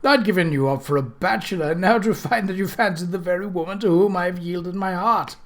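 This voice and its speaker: A man voicing a petulant, self-pitying young gentleman in a storybook reading, with wry, sighing, theatrical upper-class phrasing. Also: sits high, a little fast, pitched above the performer's own voice. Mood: startled, envious.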